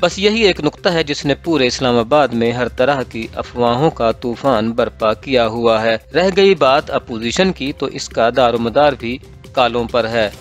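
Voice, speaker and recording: Pakistani guy reading out a newspaper column, average pitch, music playing behind him. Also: moderate pace. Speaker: narrator reading a political column. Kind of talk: scripted narration of a newspaper column. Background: background music.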